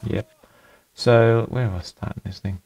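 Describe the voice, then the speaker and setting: Relaxed rambling man in a home studio. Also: fairly low pitch, normal speed, vocal fry, a little hiss or hum, rough recording. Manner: relaxed and rambling, with fillers and self-corrections. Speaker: home music producer and channel host. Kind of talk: casual walkthrough of a home recording. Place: small home studio room at a computer desk.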